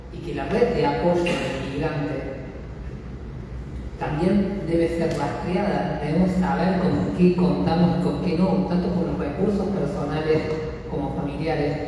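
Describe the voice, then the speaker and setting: A man giving a measured, explanatory conference talk to an audience in a community center. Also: fairly high pitch. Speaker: lecturer. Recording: echoey hall, mic far off, faint noise.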